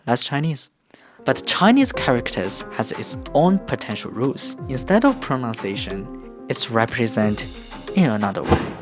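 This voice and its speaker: Lively young man teaching. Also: mid-range voice, moderate pace, over music. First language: Korean. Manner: lively, explains step by step. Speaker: language teacher. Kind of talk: explanatory video lesson.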